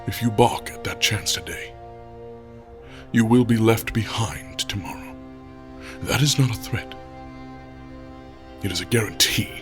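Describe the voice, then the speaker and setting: A man's voice: on the low side, music in the background, natural voice. A man playing a solemn, commanding leader. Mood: resolute. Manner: measured, solemn, quietly menacing. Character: commanding male leader addressing a council. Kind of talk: audio drama acting.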